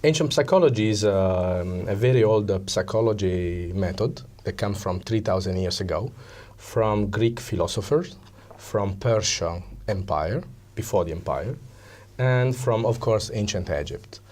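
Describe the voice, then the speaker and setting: An Italian man with an Italian accent, explaining things as an interview guest in a conversational but halting way, often pausing to search for words. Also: lowish voice, darkish tone. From Italy. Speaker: interview guest, psychology coach.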